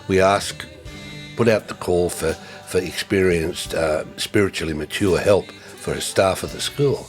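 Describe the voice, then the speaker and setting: An older man, giving a reflective tribute in a halting way with many fillers and restarts. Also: low voice. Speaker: former ministry co-worker.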